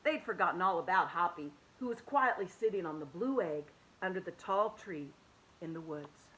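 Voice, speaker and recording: A woman reading a children's picture book aloud, expressive and animated, dropping to soft hushed tones. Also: lowish voice, moderate pace, slight background noise, poor audio. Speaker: children's story reader.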